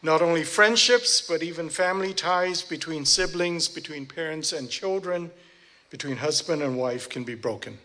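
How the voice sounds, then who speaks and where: Male church interpreter with a fairly high voice, renders each phrase quickly and corrects himself as he goes. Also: bright, forceful tone. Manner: searches for words and corrects himself mid-phrase.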